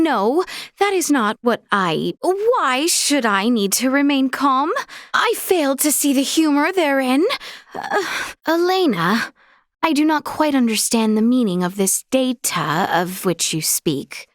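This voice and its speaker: A young woman playing a courteous, earnest princess in a video game, speaking in formal, archaic courtly phrases with gracious, measured manner. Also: on the high side, no room sound, clean studio sound. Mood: flustered. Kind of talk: scripted video game character dialogue.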